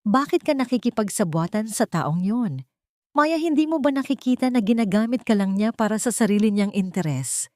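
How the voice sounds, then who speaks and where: A woman's voice: mid-range voice, lively intonation, normal speed, dark tone, no echo, studio quality, voice pushed up, natural voice. A woman voicing a deceitful, greedy boyfriend with menace under false sweetness, in an audio story. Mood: angry, accusing. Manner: falsely tender, turning hostile.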